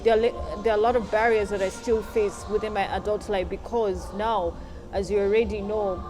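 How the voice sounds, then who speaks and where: A woman from Nairobi, answering interview questions in an articulate, measured and earnest way. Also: medium pitch, fairly even intonation, music in the background. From Kenya, Nairobi.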